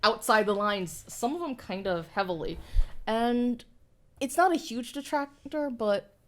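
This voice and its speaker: A young woman co-hosting a collectible review at a studio table, frank with her opinions and quick to laugh. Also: a little high, expressive. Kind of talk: casual review chat. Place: indoor studio set at a table.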